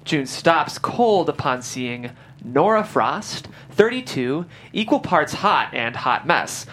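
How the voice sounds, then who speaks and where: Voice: medium pitch, big pitch swings, slow, fairly bright tone, a little hiss or hum. A reader delivering scripted stage directions as narrator at a table read.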